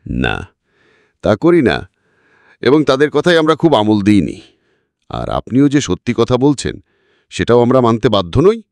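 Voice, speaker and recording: A confident male detective played by a man reading a story, methodical and pressing. The pitch swings widely as he drives each point home, and the recording is close and dry. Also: huge pitch swings, unhurried, gravelly, pauses often, dry recording, heavily acted. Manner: methodical, emphatic, accusing. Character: confident male private detective laying out a murder case. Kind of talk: dramatic audiobook character reading.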